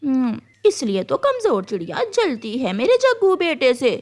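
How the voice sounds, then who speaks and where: Lady doing a snobby cartoon crow mom, high voice, very up and down, boastful. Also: huge pitch swings, moderate pace. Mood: smug. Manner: haughty, boastful, scornful of lesser birds. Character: proud, snobbish, doting mother crow. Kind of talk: children's animal cartoon voice acting.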